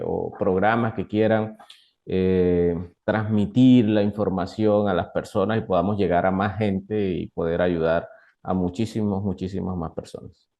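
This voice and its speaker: A young man with a Colombian Caribbean accent, explaining things as an expert guest in an interview, in a halting way with repeated words. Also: deep voice, gravelly, warm tone. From northern Colombia. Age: young adult. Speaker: guest doctor, nutrition expert. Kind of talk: interview, explaining to a lay audience.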